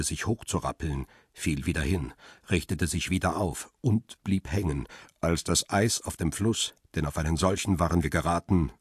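An actor playing a grim male photographer who narrates a crime radio drama in a measured, terse, husky voice. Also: low voice, dry recording, studio quality. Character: middle-aged male photographer Peter Lime, grim first-person narrator.

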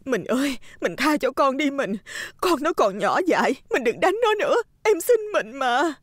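A woman's voice: high voice, big pitch swings, no echo, studio quality. A woman voicing a desperate, submissive mother in an audio story, begging tearfully. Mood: tearful pleading. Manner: tearful, drawn-out pleading.

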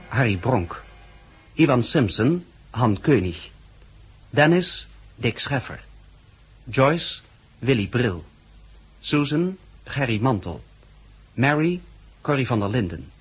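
A man's voice: medium pitch, breathy edge, poor audio. A man with a Dutch accent formally reading scripted credits as a 1960s radio announcer. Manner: formal, measured. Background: music.

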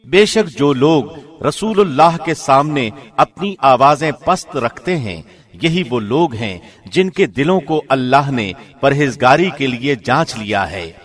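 A man's voice: medium pitch, lively intonation. A man reading a scripture translation from a script, in the solemn style of a radio presenter.

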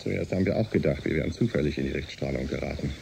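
A man's voice: a bass voice, rapid-fire, vocal fry, a little mellow, a little hiss or hum, exaggerated voice. An actor in a German radio drama playing a dutiful spaceship engineer reporting to his captain in clipped phrases. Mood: businesslike. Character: uneasy spaceship engineer and navigation officer, subordinate.

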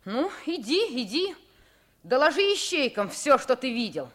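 Streetwise boy, slightly high, animated pitch, dry, cocky, vintage radio drama. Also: a little slow, full character voice. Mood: sardonic. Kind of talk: 1950s radio drama acting.

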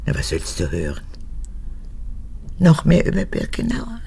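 A woman's voice: sits low, huge pitch swings, unhurried, vocal fry, warm tone, breathy edge, full character voice. An actress playing a frail, cracked-voiced old woman, a needy and spiteful mother with a childlike wheedling manner, in a radio drama. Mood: pleading. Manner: wheedling, childlike, swinging between whisper and outburst.

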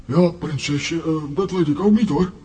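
Kids' audio play, runaway bodiless voice, male actor: highish, sassy grumbler, stubbornly honest. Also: moderate pace, dark tone, slight background noise, lightly acted. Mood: hesitant. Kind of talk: children's audio drama acting.

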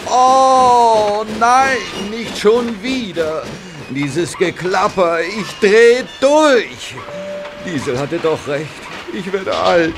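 A man's voice: sits high, animated, extremely slow, over music, full character voice. An actor playing a big, proud but aging male express engine who frets and groans to himself in a children's audio drama. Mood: worried. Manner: anxious, groaning and muttering to himself.

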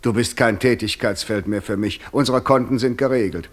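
A man's voice: mid-range voice, moderate pace, lightly acted. A man acting the Devil in a German radio play, proud, sardonic and eloquent, with grand, ironic delivery. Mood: haughty, sardonic. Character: the Devil, proud, sardonic, worldly-wise old tempter.

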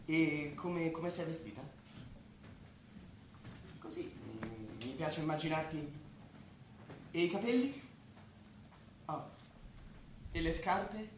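An actor playing a bashful, pretentious young amateur poet in a stage comedy, halting and self-conscious. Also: male voice, fairly high pitch, expressive. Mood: bashful, eager. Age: young adult. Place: theatre stage. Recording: echoey theatre recording, faint noise.

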